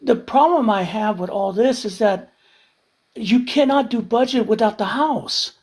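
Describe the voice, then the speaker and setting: A man's voice: sits high, measured pace. A man on a live podcast, ranting heatedly and with profanity about the news. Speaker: regular guest. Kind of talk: casual live-stream chat.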